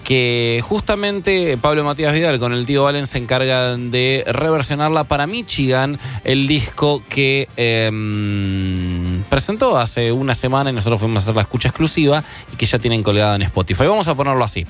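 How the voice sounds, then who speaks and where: A man's voice: mid-range voice, moderate pace, faint music behind. A man with an Argentine accent hosting a radio show, chatting casually and rambling with fillers as he introduces a song from the studio. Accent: Argentine Spanish. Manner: relaxed, rambling, with fillers and self-corrections. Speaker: radio host.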